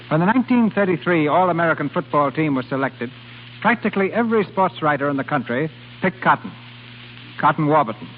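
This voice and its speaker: A man announcing a scripted vintage radio program in a 1940s broadcast style. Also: on the high side. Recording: hissy, tinny old radio broadcast.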